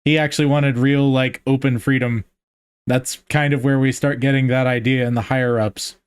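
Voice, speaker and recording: A man in casual podcast conversation with a smooth, resonant voice, recorded close and dry. He talks in a relaxed, easy way, explaining historical details from memory. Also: mid-range voice, normal speed, no room sound. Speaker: podcast co-host.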